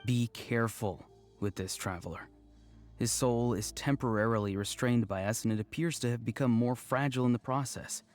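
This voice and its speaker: An actor voicing an apologetic young male mystic in a video game, speaking in a polite, measured, formal way. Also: mid-range voice, soft background music, close to an everyday voice. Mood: earnest, guarded. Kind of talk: scripted video game dialogue.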